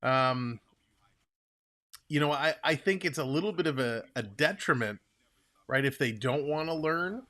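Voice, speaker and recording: A man's voice: a little high, lively intonation, unhurried, long pauses, pushed and bright. A young man with a Toronto Canadian accent, rambling casually as he hosts a live hockey stream and answers viewers' comments. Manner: chatty, rambling, reads and answers chat aloud.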